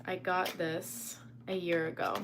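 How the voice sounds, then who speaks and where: A woman's voice: on the low side, level tone, a little slow, very noisy. A young woman chatting casually on a live stream from her phone at home, reading and answering viewers' comments. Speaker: livestreamer. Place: indoors at home.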